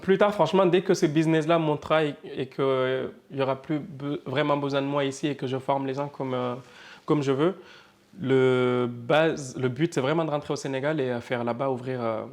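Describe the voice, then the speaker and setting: A man's voice: medium pitch, fairly even intonation, normal speed. A man in his twenties from Senegal talks casually about starting a food business. He has a mid-range voice, and his speech is loose and conversational, full of little fillers. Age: 20s. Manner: casual, chatty, lots of fillers. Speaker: interviewee, cook and business owner. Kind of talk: documentary interview.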